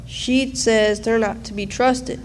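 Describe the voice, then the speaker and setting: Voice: mid-range voice, fairly even intonation, slow pace, lightly acted. A performer playing a small, sickly, questioning boy in a radio drama, recorded in a reverberant hall. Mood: wary. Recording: echoey hall, distant, background noise.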